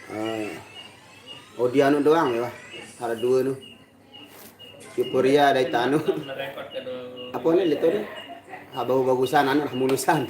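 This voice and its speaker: A man hosts a casual livestream in a mid-pitched, hoarse voice. He sounds like his throat is still recovering, and he greets people informally and unhurriedly. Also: fairly even intonation, normal speed, long pauses, background noise. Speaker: livestream host and singer.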